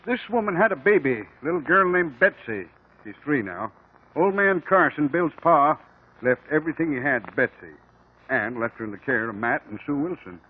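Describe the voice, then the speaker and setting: An actor playing a plainspoken small-town American sheriff in a 1950s radio drama, with rustic, ungrammatical country talk. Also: male voice, a little high, expressive, moderate pace, breathy edge, phone-quality sound. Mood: grave, matter-of-fact. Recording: old band-limited radio recording.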